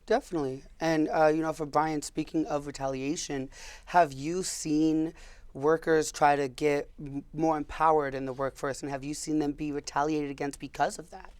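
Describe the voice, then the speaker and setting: A young man hosting a public affairs interview show, relaxed and loose with fillers and repeated words. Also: a little high, normal speed, clean tone, no echo. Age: young adult. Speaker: host.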